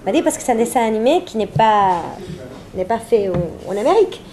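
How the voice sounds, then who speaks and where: A woman's voice: on the high side, animated, quick pace, a crowd in the background. A woman from Venezuela speaking French as a second language with a Spanish accent, chatting playfully as an interview guest and often repeating phrases for emphasis. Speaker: guest, singer-songwriter. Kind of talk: casual, playful interview chat.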